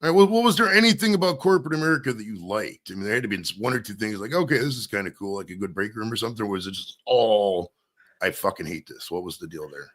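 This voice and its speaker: A man's voice: lowish voice, animated, quick pace, a bit bright. A man hosting a live podcast interview, talking loosely and profanely in a rambling, casual way. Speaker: podcast host.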